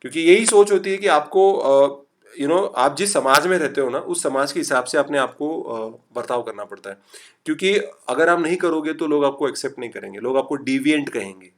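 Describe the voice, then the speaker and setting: A man from Maharashtra with a slight Marathi accent, teaching a live online class in a chatty style and mixing in English. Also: fairly high pitch. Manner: chatty, reads out students' typed replies; urges quick answers, mixes English. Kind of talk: online lecture.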